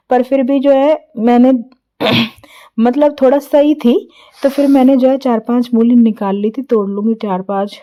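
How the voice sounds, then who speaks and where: A woman vlogger with a sore, hoarse throat, narrating her daily chores in a chatty, homely voice-over full of fillers. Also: high-pitched, muffled recording. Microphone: recorded voice-over.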